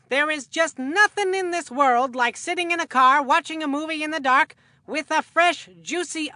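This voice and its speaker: An excitable male cartoon baseball commentator with a high-pitched, forceful voice. The delivery is folksy and hammy, full of showman's polish for a video game, and the sound is dry and close. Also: dry recording. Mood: folksy, salesman-like. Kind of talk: scripted video game sports commentary with puns.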